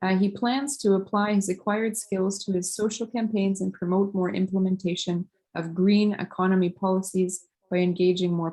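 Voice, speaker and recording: A woman moderates an online webinar in a measured, polite way, pausing on fillers. Her voice sits a little low and stays very even in pitch. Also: low-quality recording. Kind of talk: introducing a webinar guest.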